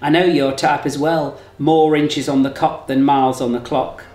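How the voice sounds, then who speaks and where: A man voicing a camp, kindly English cabaret entertainer in his fifties for an audiobook, with crisp, theatrical, teasing delivery. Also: on the high side, level tone, normal speed, darkish tone, lightly acted. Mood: knowing, indulgent. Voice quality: crisp, well presented. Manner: arch, teasing, theatrical, delicate. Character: camp, kindly, worldly fifty-ish male cabaret entertainer. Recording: roomy echo, faint music behind.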